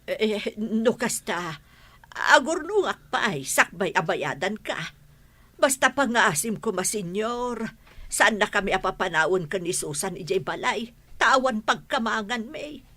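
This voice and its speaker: A wheedling, pleading village woman in a radio comedy drama. Her forceful, bright voice swings animatedly between exclamations and begging. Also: medium pitch, expressive, unhurried.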